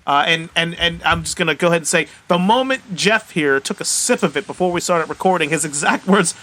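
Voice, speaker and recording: A man with an American accent and a fairly high, bright voice. He hosts a podcast, steering loose and casual banter among co-hosts. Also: expressive, a little fast. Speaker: podcast host.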